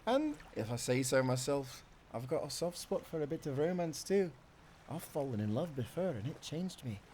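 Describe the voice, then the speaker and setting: A man's voice: on the high side, very animated, moderate pace, mellow, a little hiss or hum, voice pushed up. A man playing an affectionate Scottish sailor husband, telling stories in a tender, playful and intimate way. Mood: playful, romantic. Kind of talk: intimate roleplay storytelling.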